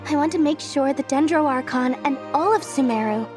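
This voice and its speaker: Actress as proud merchant lady for a video game: high-pitched, breezy, assertive, light music underneath. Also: fairly quick, lightly acted. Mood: earnest, confident. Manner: breezy, assertive, self-assured, matter-of-fact. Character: brisk, proud businesswoman and investor-minded merchant lady. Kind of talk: English video game dub acting.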